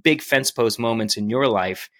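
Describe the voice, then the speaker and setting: A man's voice: medium pitch, moderate pace, a bit bright, no echo, studio quality. A man hosting a faith podcast interview, affirming his guest and leading the questions. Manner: affirming, with short agreeing interjections. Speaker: podcast host. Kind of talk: conversational interview.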